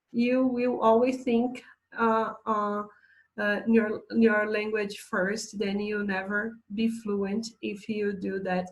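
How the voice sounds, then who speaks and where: Woman, English teacher, chatty, fairly high voice, laptop mic. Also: low-quality recording. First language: Portuguese. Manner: chatty, reading slides aloud with asides. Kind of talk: online English lesson.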